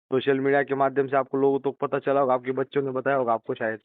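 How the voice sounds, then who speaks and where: A man's voice: medium pitch, little pitch movement, fast talker. A man doing a phone interview, prompting the other person with questions in a sympathetic manner. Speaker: interviewer, activist. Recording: roomy, tinny phone-line audio.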